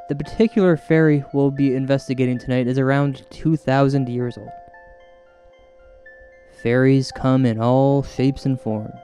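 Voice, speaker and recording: A man hosting and narrating a spooky comedy as a paranormal investigator, mock-serious and deliberate in his storytelling. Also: mid-range voice, mellow. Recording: music bed under narration. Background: music.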